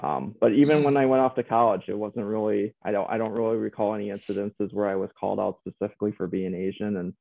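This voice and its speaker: A man's voice: fairly low pitch, fairly even intonation, fast talker, vocal fry, few pauses, dry recording. A man speaking as a guest, reflecting hesitantly with many fillers and restarts. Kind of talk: reflective conversational storytelling. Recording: phone-like narrow sound, dry.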